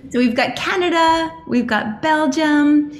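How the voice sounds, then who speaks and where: A woman's voice: high voice, unhurried. A woman speaking as host and coach on a casual group call, chatty and welcoming, greeting people as she goes. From Australia (lives there). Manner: chatty, welcoming, greets each participant by name. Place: indoor room on an online group call. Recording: roomy echo, faint background noise.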